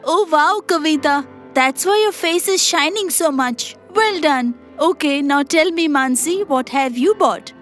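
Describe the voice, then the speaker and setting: A woman playing a commanding mother-in-law in a moral-story cartoon, speaking with deliberate authority over music. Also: sits high, fairly bright tone. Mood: bossy, approving. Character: commanding mother-in-law testing her daughters-in-law. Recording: music behind the voice.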